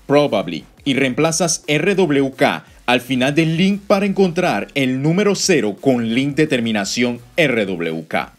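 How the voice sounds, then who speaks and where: A man delivering scripted explainer narration as a video narrator, speaking in a deliberate, instructive way. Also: mid-range voice. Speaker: video narrator and game theorist.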